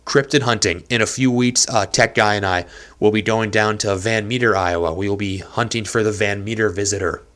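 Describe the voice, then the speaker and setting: A man's voice: on the low side, steady tone, fairly quick, a bit bright. A young man hosting a casual solo podcast from a small apartment, rambling and self-deprecating, with a nose stuffed up from allergies. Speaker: podcast host.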